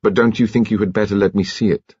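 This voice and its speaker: A man voicing a calm, courteous but firm English police detective in an audiobook, quiet and measured. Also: fairly low pitch, husky, no room sound, muffled recording. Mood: polite, firm.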